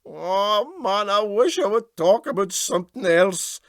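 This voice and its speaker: Man voicing a high, flustered, guilt-ridden Scottish captain. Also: expressive, measured pace, no echo, studio quality. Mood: piteous. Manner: stammering, lamenting. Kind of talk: comic storybook character reading.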